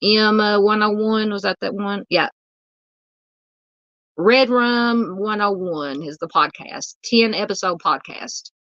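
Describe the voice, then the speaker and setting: A woman's voice: mid-range voice, slow, fairly bright tone, no echo, lo-fi sound. A woman hosting a true crime livestream, chatting casually and reading viewer comments, her voice worn and tired from talking. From Southern United States. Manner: rambling, chatty, many fillers. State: losing her voice.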